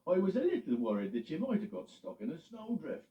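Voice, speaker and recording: A man's voice: high voice, huge pitch swings, a little fast, distant mic, voice pushed up, exaggerated voice. A man voicing a worried, grateful middle-aged farmer. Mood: fretful. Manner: relieved, fretful. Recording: muffled, with music behind.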